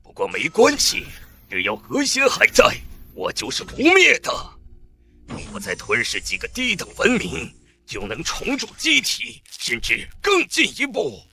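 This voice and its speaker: A man voicing an arrogant, godlike alien overlord in an animated comic drama, pompous, contemptuous and menacing. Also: on the high side, measured pace, exaggerated voice. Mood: vengeful fury.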